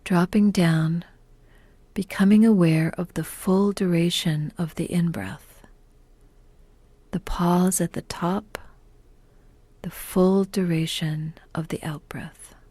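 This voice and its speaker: A woman guides a meditation at a very slow, unhurried pace. Her voice is mellow, soft and breathy, often close to a whisper. She sounds calm and gentle, recorded close and dry. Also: lowish voice, no echo. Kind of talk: guided meditation, scripted narration.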